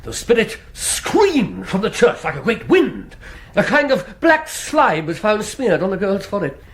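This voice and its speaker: Wildly swooping, zealous priest. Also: male voice, sits high, huge pitch swings, a little slow, fairly bright tone, exaggerated voice. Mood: smugly knowing. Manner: pompous, theatrical. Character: zealous, self-important male priest obsessed with exorcising Satan. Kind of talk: radio drama dialogue.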